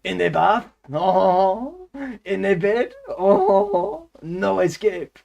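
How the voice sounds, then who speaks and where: Comic Frenchman, French accent, high and loud, excitable, theatrical storybook reading. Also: very slow, creaky, highs cut off, full character voice. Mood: excitable, hammy. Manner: theatrical, with interjected "huh", "eh" and "oui".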